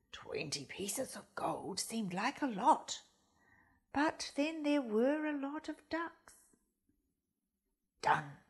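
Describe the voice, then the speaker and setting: A woman reading a children's story aloud as a school storyteller, expressive and deliberate, speaking directly to young listeners. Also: high voice, breathy edge. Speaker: storytime reader at a primary school.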